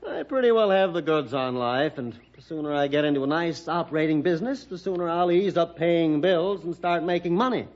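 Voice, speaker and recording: A man's voice: a little high, a little fast, few pauses, close to an everyday voice. An actor playing a genial, confident young small-town businessman with an American accent, chatty and easygoing, in a 1960s radio drama. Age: young adult. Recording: muffled, faintly noisy old radio recording.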